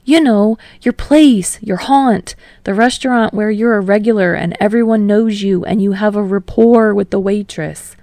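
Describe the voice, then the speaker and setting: A woman's voice: moderate pace, hardly any pauses, no echo, close to an everyday voice. A woman voicing an earnest, good-natured young man in an audiobook story, casual and protesting. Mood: teasing, accusing. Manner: casual, protesting, higher and louder than narration.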